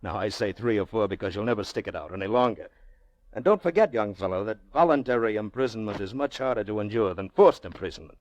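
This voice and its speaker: A man playing a haughty, very wealthy middle-aged gentleman in an old-time radio drama, speaking in pompous rhetorical flourishes with drawn-out emphasis. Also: lowish voice, animated, no room sound, highs cut off, lightly acted. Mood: condescending. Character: haughty, very wealthy Russian gentleman, goading and theatrical.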